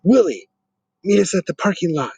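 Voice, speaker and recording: A man voicing an excited, urgent young boy from a picture book, exclaiming as he talks. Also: sits high, normal speed, gravelly, slightly rough, no room sound, pitched above the performer's own voice, heavily acted. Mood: urgent, calling out.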